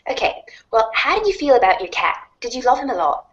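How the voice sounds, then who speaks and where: Woman playing an eager, awkward teenage girl, raised pitch. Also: very animated, fairly quick, dull audio. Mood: earnest, awkward.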